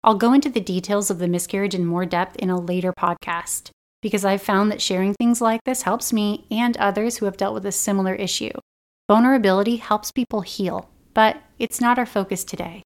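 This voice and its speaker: Earnest, slightly raspy woman podcaster. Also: medium pitch, steady tone, brisk. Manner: earnest, gentle and encouraging. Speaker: podcast host. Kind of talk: personal self-help podcast monologue.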